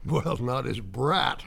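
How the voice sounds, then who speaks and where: An older man playing a folksy, touchy widowed retired speechwriter in a naturalistic audio drama, with gravelly, rambling, old-fashioned speech. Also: mid-range voice, fairly bright tone, exaggerated voice.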